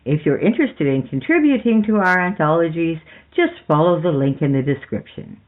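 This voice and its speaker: A woman's voice: low voice, expressive, a little fast. A woman hosting a podcast, reading scripted narration. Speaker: podcast host. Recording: slightly dull audio with faint music. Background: faint music.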